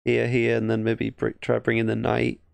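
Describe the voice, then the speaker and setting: English guy doing casual chess commentary, bit of gravel in his voice, muttering to himself, dry close mic. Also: mid-range voice, monotone, normal speed, no echo. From England. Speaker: chess streamer. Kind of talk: casual thinking-aloud game commentary.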